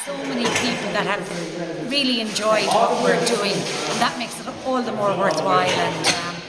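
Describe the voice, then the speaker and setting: A woman's voice: mid-range voice, normal speed, over loud music. A woman, an actress, giving informal answers in an interview. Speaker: radio drama actress. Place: echoey arts centre at a launch event. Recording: echoey room, very loud crowd. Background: loud crowd.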